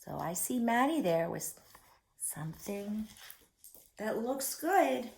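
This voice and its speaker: Very animated, slow-paced woman reading to children. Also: mid-range voice, vocal fry. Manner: theatrical, asks the young listeners questions. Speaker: children's story-time reader. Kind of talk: expressive picture-book read-aloud for young children.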